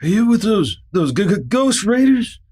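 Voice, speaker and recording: A man as a high-voiced, jittery cowboy. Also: sing-song, moderate pace, darkish tone, slightly rough, exaggerated voice. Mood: scared, awed. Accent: American. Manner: nervous stammer. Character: frightened, stammering ranch cowboy. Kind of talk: radio western acting.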